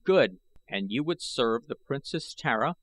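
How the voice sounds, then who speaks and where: A man's voice: mid-range voice, animated, measured pace, a bit bright, no echo, poor audio. A man voicing an urgent, trusting Martian warrior who gives earnest instructions in an audiobook.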